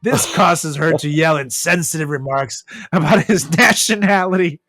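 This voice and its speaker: A man podcast host narrating a comedic story with dramatic pauses, over background music. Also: on the high side, pushed and bright, no echo, clean studio sound. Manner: dramatic pauses, drawn-out delivery.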